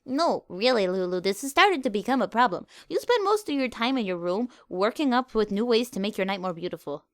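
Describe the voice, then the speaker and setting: A woman voicing an elder alicorn sun princess, a warm big sister who gently chides and teases. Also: fairly high pitch, sing-song, fairly quick, few pauses, no echo, clean studio sound. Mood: chiding. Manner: gently chiding, teasing.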